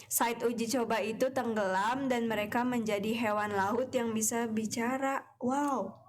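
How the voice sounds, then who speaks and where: A young woman co-hosting a campus radio chat, explaining theories in a relaxed storytelling way. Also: fairly high pitch, measured pace. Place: radio studio.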